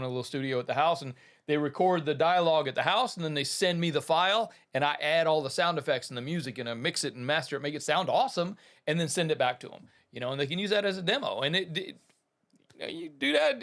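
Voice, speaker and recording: A man's voice: fairly high pitch, fairly bright tone. A man hosting a podcast about the business of running a studio, talking casually and enthusiastically, rambling with restarts and calling things awesome. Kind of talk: casual talk to listeners.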